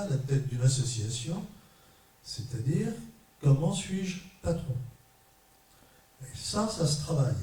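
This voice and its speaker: A middle-aged trade unionist from France addresses an audience in a measured, emphatic way, his voice very dark and mellow. He is heard from a distance in a large hall with strong echo. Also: mid-range voice. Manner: measured, emphatic, short repeated phrases. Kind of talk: conference talk to an audience. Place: large echoey parish hall. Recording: echoey hall, mic far off.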